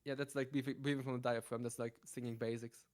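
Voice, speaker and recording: A man speaking quietly as a guest on a late-night stream, calmly explaining things in a hushed voice. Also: mid-range voice, fast. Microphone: home mic, talking quietly at night.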